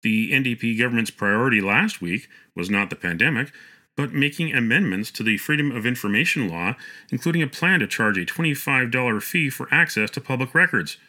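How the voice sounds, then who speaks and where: A man hosting a news interview, crisply reading prepared questions. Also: mid-range voice, expressive, fairly quick, bright, forceful tone. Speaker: interview host.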